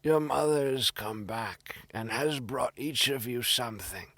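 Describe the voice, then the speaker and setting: A man voicing a cunning, menacing wolf from a fairy tale, hoarse and drawn-out in his delivery. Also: mid-range voice, fairly bright tone, no room sound. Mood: coaxing. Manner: slow, drawn-out, threatening delivery. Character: menacing, cunning big bad wolf coaxing his prey.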